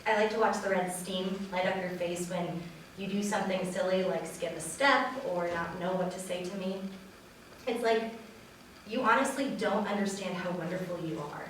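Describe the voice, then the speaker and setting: A woman's voice: on the low side, fairly even intonation, moderate pace. Distant, echoey woman reading a love piece. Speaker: reader at a wedding reader's theater. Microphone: distant from speaker. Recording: echoey room, distant mic, faint noise.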